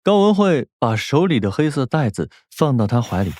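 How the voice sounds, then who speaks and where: A man narrating a scripted audiobook in an expressive storytelling manner. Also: mid-range voice, moderate pace, slight background noise.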